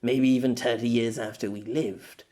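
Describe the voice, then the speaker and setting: A man's voice: mid-range voice, steady tone, moderate pace, warm tone, natural voice. A man playing a middle-aged exile in an audio story, bitter, hot-tempered and desperate, stammering and swearing in dramatic outbursts. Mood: calmer, doubtful.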